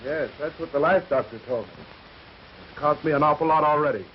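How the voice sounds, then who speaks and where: Dejected young patient on muffled old film, acted. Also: male voice, fairly high pitch, fairly quick, natural voice. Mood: discouraged. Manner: dejected, hesitant. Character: discouraged, worn-down young male patient. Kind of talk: 1930s film melodrama acting. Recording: dull, hissy 1930s film soundtrack.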